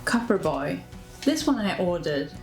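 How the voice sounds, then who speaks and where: A Swedish woman with a somewhat low voice talks in a relaxed, chatty way, with a Swedish accent and plenty of "like" fillers. Her voice rings in an echoey room, with faint music underneath. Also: a little slow. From Sweden. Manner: chatty, fillers of "like", self-deprecating. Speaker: vlogger. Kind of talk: casual show-and-tell narration.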